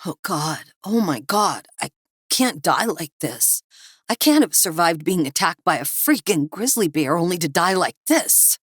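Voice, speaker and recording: A woman's voice: lowish voice, very slow, fairly bright tone, no echo, clean studio sound, pitched above the performer's own voice, exaggerated voice. Woman playing a frightened, stammering younger man. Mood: panicked, pleading. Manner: stammering, gasping, pleading. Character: frightened younger man, whining, pleading researcher.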